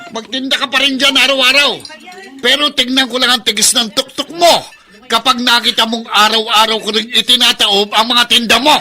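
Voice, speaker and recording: A greedy, menacing Filipino bully in a radio drama. His voice is high for a man and piercingly sharp, with a sneering, domineering delivery. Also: fairly even intonation, brisk, exaggerated voice. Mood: furious, threatening. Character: greedy, menacing middle-aged villainous man, bullying and threatening. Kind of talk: Tagalog radio drama acting.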